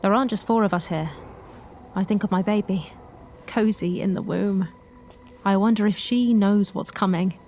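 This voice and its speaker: A woman's voice: medium pitch, darkish tone, close to an everyday voice. An actress playing an anxious, tender expectant mother, musing in wondering questions in an audio drama monologue over music. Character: anxious, wondering pregnant woman, tender toward her baby. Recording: music behind the voice.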